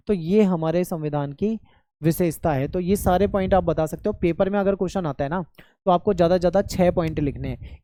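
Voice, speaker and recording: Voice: mid-range voice, warm tone, no echo, clean studio sound. A teacher giving a patient classroom lecture on a textbook chapter, explaining step by step, checking in often and mixing English terms into the explanation. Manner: patient, repetitive explaining with frequent "okay" check-ins.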